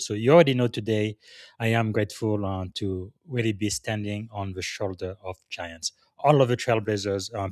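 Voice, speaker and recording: A man's voice: fairly low pitch, dry recording, clean studio sound. A man from Congo hosting a live podcast interview, upbeat and emphatic, with frequent fillers. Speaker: podcast host.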